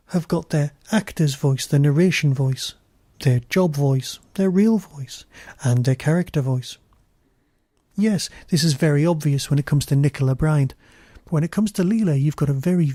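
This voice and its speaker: A male podcast host reviews in a conversational way. His voice is mellow and a little high for a man. The sound is very dry. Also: unhurried, husky, no echo.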